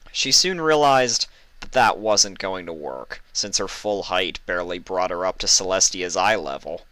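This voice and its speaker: Male storyteller, low bright voice, expressive reading, close dry recording. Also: clean tone, no echo, rough recording. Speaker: story reader and channel host. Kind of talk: expressive scripted storybook narration with casual introduction.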